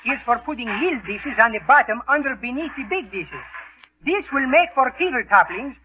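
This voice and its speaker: A man's voice: very high voice, lively intonation, moderate pace, background noise. A man playing a genial Greek immigrant restaurant owner with a Greek accent and garbled English in a 1930s radio comedy. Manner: garbled grammar, malapropisms. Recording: muffled, phone-like 1930s radio recording.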